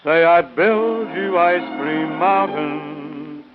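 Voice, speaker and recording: High-voiced man singing on a tinny old record. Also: very slow, over music. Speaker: singer. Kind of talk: old-time popular novelty singing. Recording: tinny, band-limited vintage record. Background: musical accompaniment.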